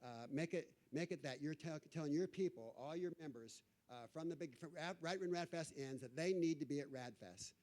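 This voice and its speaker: Higher-voiced man, urgent and halting. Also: darkish tone. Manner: urgent, repetitive, halting and restarting. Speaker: conference speaker rallying attendees. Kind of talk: impromptu motivational address.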